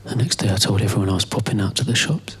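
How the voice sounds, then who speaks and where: A man performing a halting, intimate first-person story in a hushed whisper. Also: lowish voice, breathy edge.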